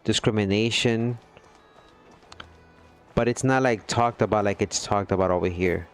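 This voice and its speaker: A man's voice: on the low side, measured pace, soft background music. A man chatting casually with his livestream viewers, earnest and rambling, often checking in with "you know what I mean". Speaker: gaming livestreamer.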